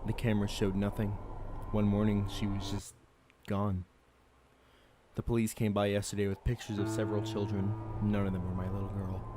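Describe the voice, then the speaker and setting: A man playing a grieving father telling his story in a horror radio play, somber, halting and restrained. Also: lowish voice, completely flat delivery, music in the background, lightly acted. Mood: somber, uneasy. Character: grieving father, grown man, recounting his daughter's disappearance.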